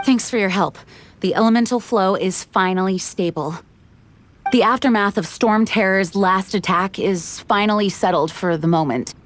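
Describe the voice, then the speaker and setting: A woman playing a dutiful knight commander in a game cutscene, measured and formal, sometimes sighing. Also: medium pitch, slight background noise, close to an everyday voice. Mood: earnest.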